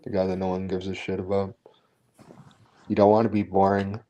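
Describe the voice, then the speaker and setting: A man's voice: low voice, completely flat delivery, fairly quick, warm tone. A man chatting casually on a live stream, blunt and opinionated, often restarting his sentences. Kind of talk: casual chat.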